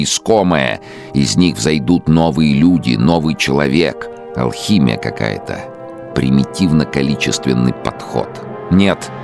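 An actor in a radio play playing a fanatical, megalomaniac male dictator, brooding and declaiming in a grandiose, emphatic monologue. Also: exaggerated voice. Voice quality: very deep, gravelly.

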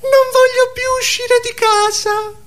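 A man voicing an anxious, plaintive married female owl in a slow falsetto for an absurdist radio comedy sketch. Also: flat delivery, fairly bright tone, heavily acted.

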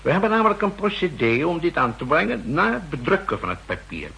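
An actor playing a fussy, insistent central-bank expert who explains pedantically, in 1960s radio drama style. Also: male voice, a little high, very animated, fast, gravelly, bright, forceful tone, husky, full character voice. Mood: urgent, emphatic. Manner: pedantic, emphatic, hesitating and repeating himself. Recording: noisy vintage 1960s radio recording.

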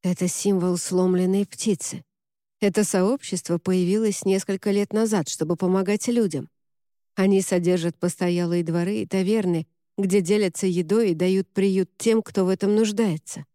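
A woman voicing a gentle, sad boy who speaks calmly and quietly in a storybook reading. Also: medium pitch, moderate pace, no echo, studio quality, natural voice. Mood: quiet, wistful.

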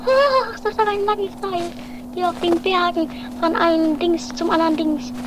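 A woman playing an excitable, anxious wife in an improvised amateur comedy radio play, chatty and theatrical. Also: very high voice, normal speed. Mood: worried. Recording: noisy 1980s amateur recording.

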